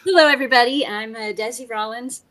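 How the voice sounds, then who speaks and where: A woman's voice: fairly high pitch, fast talker, a bit bright. A woman from Texas with a Texan accent, introducing herself cheerfully on a panel over a video call. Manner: cheerful, upbeat.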